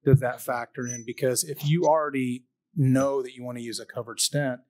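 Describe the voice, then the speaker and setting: Male panelist, mid pitch, thinks out loud. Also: steady tone, moderate pace. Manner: questioning, reasoning aloud. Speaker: panelist physician. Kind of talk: live conference panel discussion.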